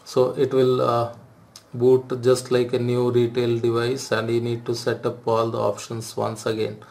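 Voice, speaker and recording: Male tech host, monotone, halting delivery with many fillers, tutorial walkthrough. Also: medium pitch, creaky. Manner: hesitant, frequent "uh" fillers, flat delivery.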